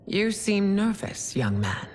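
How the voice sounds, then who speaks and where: An actress playing an imperious widowed empress in a video game, speaking in a formal, stately and stern manner. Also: female voice, medium pitch, very animated, takes it slowly, darkish tone. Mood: cool, stern. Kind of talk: video game cutscene acting.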